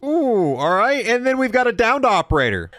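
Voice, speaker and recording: A man's voice: very high voice, very animated, moderate pace, noisy background. A young man doing casual gameplay review commentary, informal and thinking aloud. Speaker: gaming video host.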